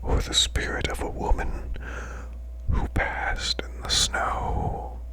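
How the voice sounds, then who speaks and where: A man voicing a demon boss in a breathy, growling whisper, reading folklore slowly as soothing bedtime ASMR. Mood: hushed, soothing. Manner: slow, hushed, deliberate recitation. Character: male demon butler's boss, growling softly, soothing storyteller.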